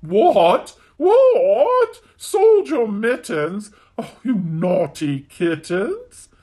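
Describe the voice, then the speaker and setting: A children's storyteller voicing a scolding mother cat with shrill, drawn-out exclamations. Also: very animated, warm tone, exaggerated voice.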